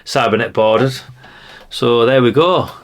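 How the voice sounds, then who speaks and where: A man's voice: medium pitch, takes it slowly. A middle-aged man with a British accent, giving a casual, unscripted show-and-tell in a rambling, chatty way, talking informally to his viewers. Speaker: vlogger showing vintage CB radios and catalogues.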